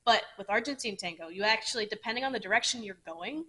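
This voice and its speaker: Blunt, bright-voiced woman who swears freely. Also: on the high side, expressive, fast talker. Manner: explains at length with asides. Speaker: podcast co-host. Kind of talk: casual chat.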